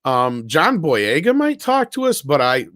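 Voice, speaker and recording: A man's voice: on the high side, wildly swooping pitch, a little slow, fairly bright tone, no echo, studio quality. A man hosting a casual panel chat in an informal, rambling way with some swearing. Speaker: panel show host.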